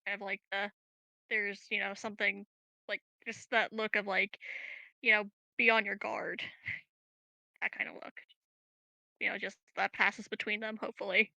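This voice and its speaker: A woman's voice: mid-range voice, little pitch movement, normal speed, vocal fry, pauses often, bright, forceful tone, no echo, rough recording. A giggly, hesitant woman chatting casually as a player in a role-playing game.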